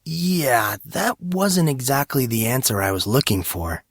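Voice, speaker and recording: A man's voice: mid-range voice, sing-song, a little slow, dry recording, studio quality, pitched above the performer's own voice. A man voicing a joking, tender young man in an audiobook story, casual and teasing.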